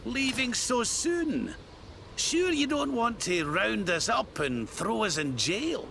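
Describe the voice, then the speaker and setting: A man's voice: high voice, big pitch swings, takes it slowly, gravelly, bright, forceful tone, a little hiss or hum, exaggerated voice. A man voicing a cocky, sardonic rogue in a video game, with a teasing drawl. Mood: wry, teasing.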